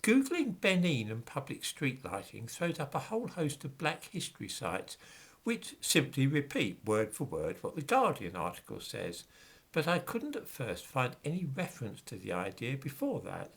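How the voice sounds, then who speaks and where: A man's voice: mid-range voice, vocal fry, fairly bright tone, slightly rough. A middle-aged man reading passages from books aloud and commenting on them with dismissive, sarcastic asides.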